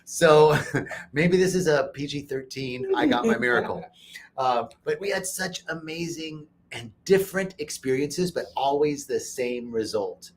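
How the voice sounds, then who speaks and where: A man hosting a casual interview at a retreat center, upbeat and joking and quick to laugh. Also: fairly high pitch, animated, slight background noise. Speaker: host. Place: retreat center in Costa Rica.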